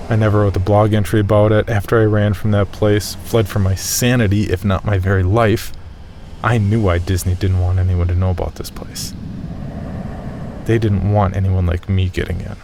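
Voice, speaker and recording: Low-voiced male horror narrator, noisy background. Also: level tone, normal speed, a little mellow. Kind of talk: first-person scripted horror narration.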